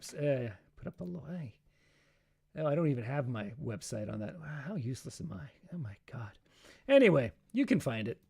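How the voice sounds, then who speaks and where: Raspy high-voiced man rambling on a stream. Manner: rambling and self-deprecating, many fillers. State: voice tired from practice. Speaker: musician hosting a live stream. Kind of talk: casual live stream chat between songs.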